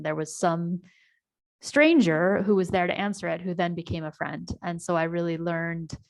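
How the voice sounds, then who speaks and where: American woman with a low, gravelly voice. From United States. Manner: warm storytelling with frequent ums and restarts. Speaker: community presenter. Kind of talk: online town hall presentation. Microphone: video call.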